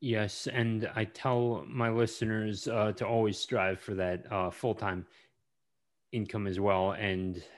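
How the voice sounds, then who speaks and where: A man hosting a podcast interview in a casual, halting way, often repeating and stumbling over his words. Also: lowish voice, level tone. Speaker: podcast host.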